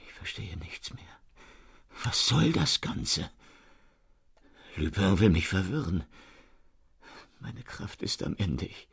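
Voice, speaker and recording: Worn-down gruff cop in a radio drama, low gravelly voice, slow and heavy. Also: male voice, a bit bright, full character voice. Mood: despairing. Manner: barking orders, then slow and brooding. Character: gruff, exasperated, defeated middle-aged male police inspector. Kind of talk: radio play acting.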